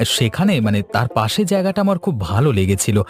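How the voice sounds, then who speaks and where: A man's voice: medium pitch, very animated, brisk, soft background music, natural voice. A man voicing a confident, eager young city man in a cartoon story. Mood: eager, breezy.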